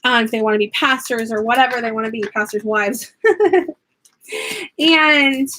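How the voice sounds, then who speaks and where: Young american woman chatting away while crafting, kinda high voice, laughs a lot, goes off on tangents. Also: fairly even intonation, moderate pace, sharp tone, a little hiss or hum. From United States. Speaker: craft vlogger and online teacher. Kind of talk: casual chat while crafting.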